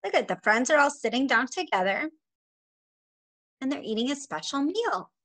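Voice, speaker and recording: High, lively-voiced woman reading to preschoolers. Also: moderate pace. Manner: sing-song, expressive, addressing small children. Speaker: preschool teacher and story time host. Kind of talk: reading a picture book aloud to young children. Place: small indoor room at home.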